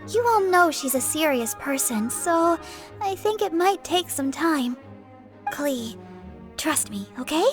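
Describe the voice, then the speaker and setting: A woman's voice: high-pitched, animated, slow, soft background music. A woman playing a cheerful, kind teenage girl healer in an anime game, earnest and encouraging. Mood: eager, reassuring. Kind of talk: scripted anime game dialogue.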